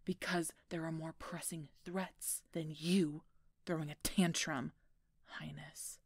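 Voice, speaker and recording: A woman playing a gruff, protective female werewolf knight in a roleplay audio drama, teasing and stern as she speaks to the listener. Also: on the low side, steady tone, measured pace, dry recording, clean studio sound, exaggerated voice. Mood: stern, grudging. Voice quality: husky, gravelly.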